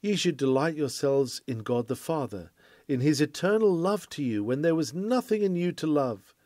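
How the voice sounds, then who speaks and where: A man's voice: on the high side, animated, moderate pace, darkish tone, no room sound, studio quality. A man reading an old sermon aloud in an earnest, formal, declamatory manner. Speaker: sermon reader. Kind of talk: read-aloud classic sermon, oratorical.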